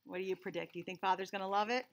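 A woman in her forties giving an expressive, dramatized storybook read-aloud for children in a small room. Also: mid-range voice, rapid-fire. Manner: animated, clearly dramatized for children.

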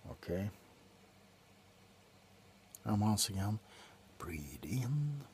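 A middle-aged man playing a grumpy, drunk doctor in an ASMR roleplay, grumbling sardonically close to the mic between soft talk and whispers. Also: on the low side, sing-song, drawn-out words, slight background noise, full character voice. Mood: grumbling, sardonic. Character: grumpy, drunk male doctor on a Christmas house call. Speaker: ASMR performer. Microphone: very close to the face.

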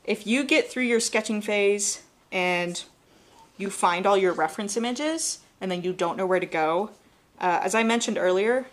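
A young woman with a Southern accent and a slightly low voice, teaching drawing as she sketches. She talks in a relaxed way, walking through each step and often correcting her own words. She is recorded in a studio on a clip-on mic. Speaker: art instructor. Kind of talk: live drawing demonstration. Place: studio with an overhead desk camera.

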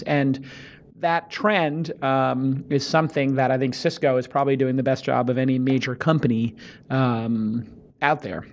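A man in his forties hosting a casual studio interview, his voice slightly hoarse from shouting, talking with energy and his hands. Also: medium pitch, muffled recording. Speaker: podcast host. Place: podcast studio. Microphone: studio microphone on boom arm.

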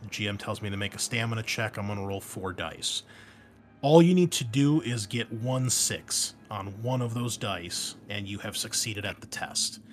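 A man with a fairly low voice gives a casual, informal explanation of game rules. He speaks directly to the listener like a host walking a newcomer through things. There is some faint noise behind his voice. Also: animated, moderate pace. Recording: noticeable background noise.

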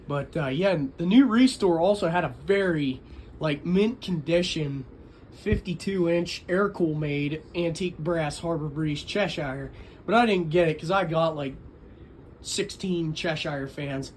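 A man with a fairly high voice narrates a homemade hobby video. He rambles along in a casual, chatty way, showing off his collection with an enthusiast's keenness. The delivery is unscripted and conversational. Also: measured pace, a little mellow, slight background noise. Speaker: vlogger, ceiling fan collector.

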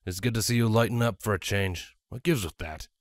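A man's voice: fairly low pitch, brisk, no room sound, clean studio sound, lightly acted. Man doing a hearty, bantering pony captain. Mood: friendly. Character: jovial, blunt male pony captain, teasing best friend.

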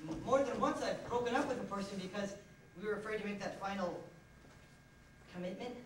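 A young man acts out a bewildered, hesitant bachelor in a stage play. He speaks haltingly and uneasily, stumbling over words. The recording is distant, very echoey and noisy. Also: sits high, close to an everyday voice. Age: young adult. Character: hesitant, bewildered single man cornered by a bride. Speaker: high school stage actor. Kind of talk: live one-act comedy stage acting. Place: school theatre stage. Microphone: far camera. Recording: echoey noisy hall, distant camcorder microphone.

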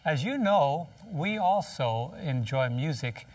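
A man's voice: fairly high pitch, expressive, slow pace, a little mellow, no room sound, far from the mic. An older man, a pastor, co-hosting a TV panel chat with a jovial, teasing manner and preacher-like rhetorical questions. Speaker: pastor and co-host. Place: TV studio set, seated at table.

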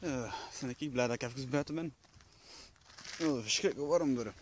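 A man's voice: medium pitch, normal speed. A young man, rambling playfully with many fillers while filming himself for his vlog on a handheld camera. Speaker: vlogger. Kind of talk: casual vlog chat. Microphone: handheld camera at arm's length. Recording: noisy handheld camera audio.

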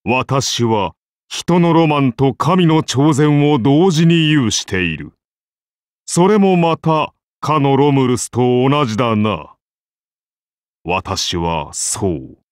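A majestic founder-king of Rome turned god, declaims in archaic, solemn phrases for an anime game. His benevolent voice moves slowly and sweeps widely in pitch, in a close, dry recording. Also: lively intonation, clear, resonant tone, no echo. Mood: solemn, grand. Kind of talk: anime game character voice lines.

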